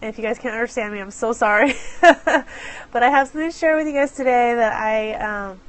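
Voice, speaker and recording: A woman's voice: a little high, fairly quick. A woman with a head cold hosting a casual live stream from her phone. She is chatty and apologetic and greets viewers by name. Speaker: live stream host for small business owners. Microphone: phone camera.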